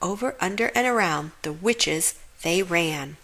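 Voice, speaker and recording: A woman reading a children's picture book aloud in an expressive storytelling style. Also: on the low side, measured pace. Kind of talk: expressive read-aloud narration.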